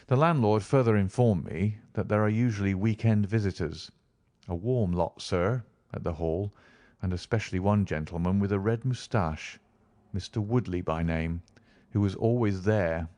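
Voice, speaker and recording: A man plays a confident gentleman detective in a storybook reading. His voice sits fairly low, with articulate, measured and wry delivery. The recording is dry and close. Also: no echo, close to an everyday voice. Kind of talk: audiobook character reading.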